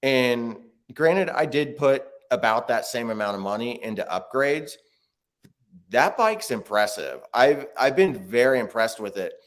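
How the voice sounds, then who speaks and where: A man's voice: mid-range voice, lively intonation, unhurried. A man talking casually as a guest on a video call interview, explaining at length with many restarts. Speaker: guest, bike video creator.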